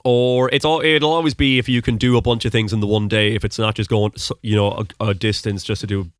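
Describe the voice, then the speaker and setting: A man's voice: fairly low pitch, little pitch movement, brisk, clear, resonant tone, no room sound, studio quality. A young Irish man with a fairly neutral accent that some hear as American, answering questions in a rambling, casual way with many ums. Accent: Irish with American-sounding notes. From Ireland, Mullingar, Westmeath. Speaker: podcast host being interviewed. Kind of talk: casual conversational interview.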